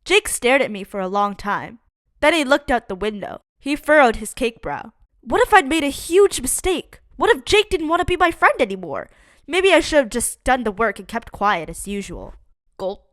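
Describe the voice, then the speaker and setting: A woman giving a lively, expressive read-aloud of a children's picture book. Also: a little high, no room sound, clean studio sound. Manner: lively, expressive reading for kids.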